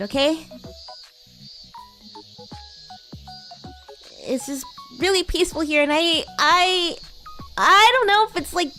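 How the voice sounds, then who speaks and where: A woman's voice: sits high, pushed and bright, voice pushed up. A woman voicing a bratty, sweet young demon girl in casual roleplay chat, with hesitant, girlish delivery. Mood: bashful, sincere. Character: bratty, sweet young female demon classmate from another world. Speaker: VTuber streamer.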